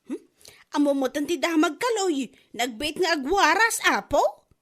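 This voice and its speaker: A woman's voice: sits high, expressive, unhurried, fairly bright tone. A woman playing an eager, scheming village wife with an Ilocano accent in a comedy drama.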